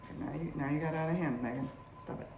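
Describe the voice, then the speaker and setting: A young woman with a husky morning voice, chatting playfully to viewers in a cook-along vlog from her kitchen. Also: deep voice, fast, noisy background. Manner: bubbly and playful, talks to viewers while cooking. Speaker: cooking vlogger. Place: home kitchen. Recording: echoey kitchen.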